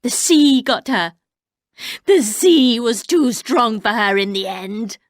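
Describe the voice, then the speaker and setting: A woman voicing a bitter, menacing older housekeeper who speaks slowly and coldly, often dropping to a whisper. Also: lively intonation, no room sound, clean studio sound. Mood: cold menace. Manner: slow, low, cold, often whispered.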